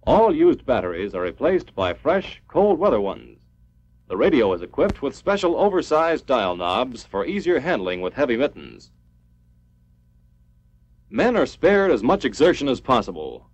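A man's voice: medium pitch, sing-song, moderate pace. A man reading a scripted 1950s military training film narration in a formal, clipped, instructional manner. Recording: dull lo-fi 1950s film soundtrack.

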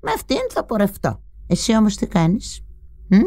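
A bossy old pensioner mother who grumbles, nags and scolds, as a woman plays her, in a story reading. Her voice rises and falls with lively swings in a middle register. The sound is close and dry. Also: mid-range voice, no room sound. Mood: prying. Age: older. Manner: scolding, chatty, complaining, with sudden outbursts. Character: older female pensioner mother, bossy, grumbling, sharp-tongued but doting. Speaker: narrator's mother in a dramatized memoir.

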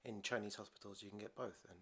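A man's voice: on the low side, fairly even intonation, fast talker, a bit bright, breathy edge. A man casually narrating as he explores an abandoned hospital, chatty and curious, explaining what he finds. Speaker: urban explorer host.

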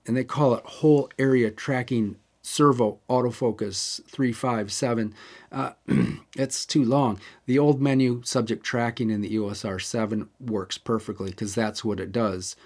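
Man, mid-pitched voice, chatty, step-by-step explainer, casual tutorial tone. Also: husky. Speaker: camera tutorial host. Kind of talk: casual how-to walkthrough.

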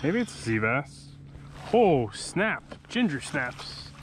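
A man's voice: fairly high pitch, very animated, slow, slight background noise. A man in his thirties giving a casual running commentary while fishing alone from a kayak, talking to himself between casts, recorded on an action camera mounted on the boat. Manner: laid-back, talks to himself between casts. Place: kayak on calm open sea, outdoors.

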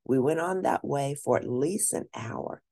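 A woman reading a children's story aloud as an expressive storyteller, lingering deliberately on key words. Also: extremely low pitch, dry recording.

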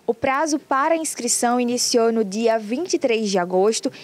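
A woman reporter narrates a news story in a fairly high voice. Her delivery is clear, even and scripted. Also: normal speed.

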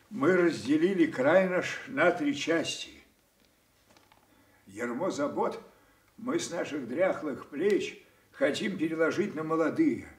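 A man's voice: on the high side, a bit bright. An older actor playing a proud, commanding aging king in a classical radio tragedy, speaking in a stately, declamatory way. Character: imperious aging king dividing his realm, proud. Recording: roomy echoing stage sound, slightly distant.

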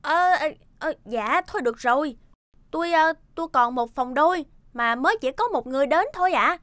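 A woman's voice: high-pitched, lively intonation, fairly quick, a bit bright, no echo. A woman playing a flustered, polite hotel receptionist in a cartoon, hesitant and deferential. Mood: apologetic. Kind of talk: comic cartoon dubbing. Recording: children's music behind the voice.

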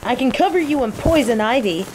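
A woman playing a cocky, teasing female mage who controls plants, in a scripted roleplay audio drama, with an unhurried, playful drawl. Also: high-pitched, rough recording. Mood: teasing, searching. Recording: steady rain ambience noise. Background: falling rain.

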